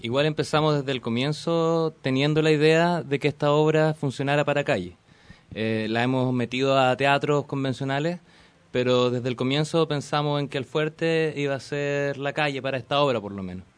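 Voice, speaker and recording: A man's voice: mid-range voice, normal speed, smooth. A man, a theater actor on a radio interview, explaining fluently and earnestly. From Chile.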